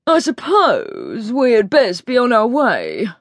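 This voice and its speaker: A woman narrator plays a storybook lord in his thirties, a languid military gentleman. He drawls lazily and slowly in a dry, close recording. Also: fairly high pitch, huge pitch swings, no echo, voice pushed up, heavily acted. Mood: regretful. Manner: lazy upper-class drawl. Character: languid thirty-five-year-old male aristocratic army officer.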